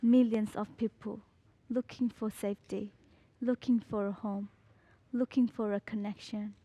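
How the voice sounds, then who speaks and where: A teenage girl from Burma, a student, reading her personal story in a slow, measured, deliberate way. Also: medium pitch, steady tone, dark tone, dry recording.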